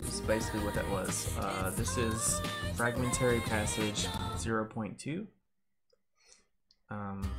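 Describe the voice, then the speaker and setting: A man in his thirties giving casual commentary as he hosts a video game playthrough. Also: on the low side. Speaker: gaming video host. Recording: loud game music behind.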